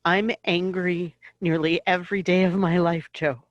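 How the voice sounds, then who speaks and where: A woman acting a gentle, devout middle-aged mother in an audio play, giving tender, measured counsel. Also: fairly low pitch, little pitch movement, no echo, lo-fi sound, natural voice. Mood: gently earnest.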